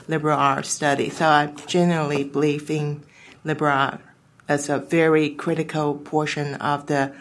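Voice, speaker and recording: Low-voiced woman, native Chinese speaker; halting, filler-heavy delivery. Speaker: board member. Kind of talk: formal meeting remarks.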